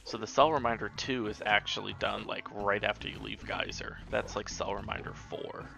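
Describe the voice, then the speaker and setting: A man streaming a game with a lowish voice full of vocal fry. He comments casually, thinking aloud in a low-key way, with game music clearly behind him. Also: fairly even intonation, normal speed. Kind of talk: casual live gameplay commentary. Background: video game music.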